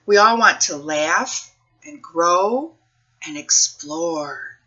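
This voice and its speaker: A woman reading a picture book aloud for children's storytime, phrasing things deliberately and putting questions to her young listeners. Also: lowish voice, animated, sharp tone, lo-fi sound.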